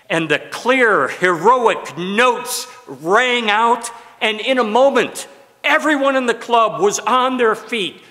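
An older American pastor preaches slowly and deliberately from the pulpit, his voice bright and fairly high for a man. A long church reverb trails after his words. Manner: slow, expressive preaching with broad hand gestures. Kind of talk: sermon. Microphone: pulpit mic.

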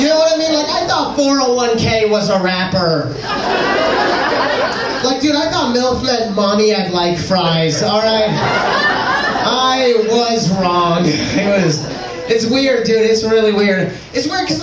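A teenage boy does stand-up in a very high-pitched young voice, with slangy, conversational delivery. He is heard from a distance in an echoey club with a loud crowd around him. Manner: casual, slangy, exclamatory delivery. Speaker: stand-up comedian. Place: indoor comedy club stage. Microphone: handheld stage microphone, picked up from afar. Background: loud audience noise.

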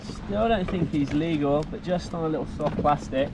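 Male vlogger in his thirties, laid-back fishing commentary, loud wind on the mic. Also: a little high, normal speed. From Australia. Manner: relaxed, muttering to himself between casts. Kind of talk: casual running commentary. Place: small open boat on a windy creek, outdoors. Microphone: action camera mounted on the boat. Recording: wind-blasted action camera audio. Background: loud wind and boat noise.

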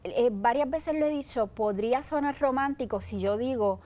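A woman's voice: on the high side, moderate pace, mellow. A middle-aged woman with a Puerto Rican accent, a political candidate being interviewed, laying out her plans in an earnest, persuasive way. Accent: Puerto Rican Spanish. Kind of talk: interview answers. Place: TV studio set, seated in an armchair. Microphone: lapel mic.

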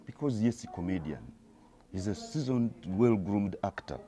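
A man's voice: on the low side, expressive, normal speed, crowd noise. A Ugandan man in his forties, a theatre actor giving an outdoor street interview, talking emphatically and lecturing, sometimes rising to heated bursts. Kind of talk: street interview, mixing Luganda and English. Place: outdoors by an orange door. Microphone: handheld reporter mic.

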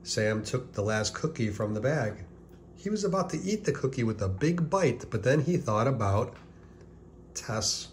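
A man reading a children's picture book aloud as scripted narration, deliberately, with pauses before key words. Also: medium pitch, sing-song, slight background noise.

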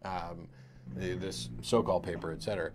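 A man's voice: deep voice, fairly even intonation, normal speed, darkish tone, a little hiss or hum. A middle-aged man hosting a call-in show in a studio, explaining his points emphatically and gesturing as he talks. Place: studio, at a desk. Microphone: lapel mic.

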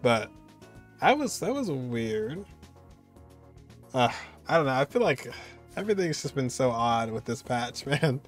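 A man's voice: mid-range voice, very animated, unhurried, pauses often. A man, giving informal, conversational reaction commentary. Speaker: reaction video host. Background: music.

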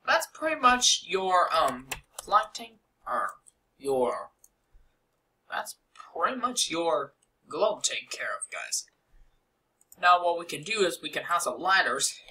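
Young man, lively swooping pitch, slightly high voice, casual rambling game tutorial, desktop mic. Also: takes it slowly, a little hiss or hum, low-quality recording. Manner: rambling, lots of fillers and asides.

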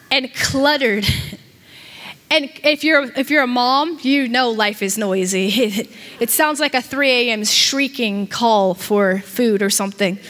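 A high-voiced woman in her thirties preaching a sermon into a handheld mic. She talks with animated emphasis and often stumbles and repeats words as she builds a point. Her voice carries a long reverb from the church hall around her. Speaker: pastor. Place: church stage with a small audience.

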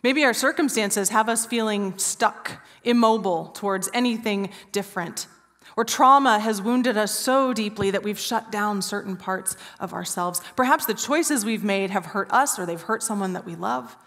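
A woman in her forties preaching an Easter sermon from a church stage, telling a story with hushed, drawn-out pauses. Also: mid-range voice. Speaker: pastor. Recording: reverberant church hall.

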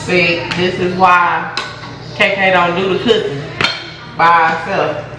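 A woman's voice: lowish voice, fairly even intonation, slow, recorded from a distance, music in the background. A young American woman chatting colloquially in a casual vlog, playfully chiding as she talks. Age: young adult. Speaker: vlog co-host and home cook. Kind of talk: casual vlog chat with bantering partner. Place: home kitchen, indoors. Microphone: camera or phone a few feet away. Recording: echoey, noisy kitchen, off the mic.

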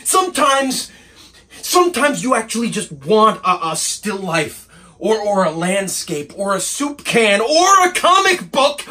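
Man playing wounded young painter's assistant, theatrical monologue, high-pitched, forceful, sarcastic, bitter. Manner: bitterly sarcastic, repeats phrases emphatically. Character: angry, wounded young male painter's assistant confronting his boss. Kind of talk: dramatic stage monologue acting.

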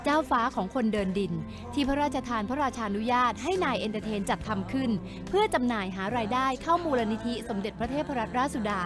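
A woman's voice: on the high side, animated, quick pace, few pauses, over music. A woman reading scripted promotional narration as an entertainment news presenter.

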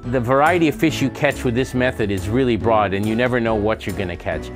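A man's voice: mid-range voice, quick pace. Enthusiastic male travel host. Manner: enthusiastic, explains to camera. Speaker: show host visiting fishermen. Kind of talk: travel show presenting, English and Mandarin. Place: fishing boat and indoor seafood market. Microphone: lapel. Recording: with background music.

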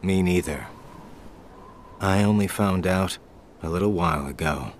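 An easygoing young friend. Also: male voice, deep voice, a little slow, slight background noise. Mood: calm. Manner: casual, easygoing. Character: laid-back young man, loyal friend. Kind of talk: video game cutscene voice acting. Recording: game audio with faint music.

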